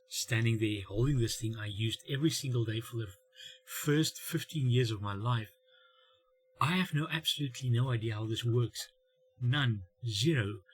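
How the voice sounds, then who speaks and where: A middle-aged man telling a humorous story in a wry, self-mocking way, with dramatic pauses and bits of acted-out dialogue. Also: mid-range voice, slight background noise, low-quality recording. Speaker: host of a family humour channel. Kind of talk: comedic storytelling monologue.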